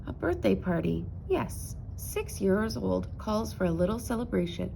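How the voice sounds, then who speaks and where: A woman voicing a cautious mother bear in a children's storybook, measured, motherly and gently restraining. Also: mid-range voice, big pitch swings, vocal fry, slight background noise, heavily acted, poor audio.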